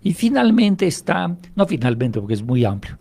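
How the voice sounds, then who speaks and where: Man, mellow warm tone, explains patiently, poses his own questions, radio guest. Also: on the high side, sing-song, vocal fry. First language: French. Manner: explanatory, measured, asks and answers own questions. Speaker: hypnotherapist guest. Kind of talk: radio interview. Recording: radio interview.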